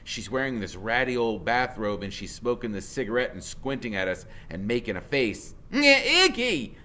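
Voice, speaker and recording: A man narrating a first-person story aloud in an expressive, dramatic way. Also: fairly low pitch, moderate pace. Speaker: audiobook reader, teacher.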